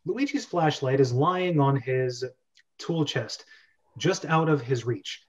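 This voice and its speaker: A man's voice: mid-range voice, expressive, slow pace, lo-fi sound. A man in his thirties hosting a script read-through, narrating screenplay directions and chatting casually over a video call. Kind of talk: reading screenplay directions amid casual chat. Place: bedroom at home.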